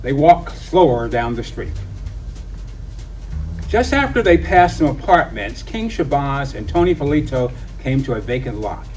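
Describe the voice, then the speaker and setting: A man reading a children's story aloud in an expressive narrating style. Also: mid-range voice, a little slow. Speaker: storybook reader. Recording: distant mic, music behind.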